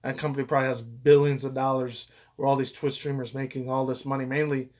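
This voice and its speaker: A man's voice: medium pitch, little pitch movement, quick pace, a little mellow. A young man giving casual, off-the-cuff reaction commentary in a rambling way full of crude slang and swearing.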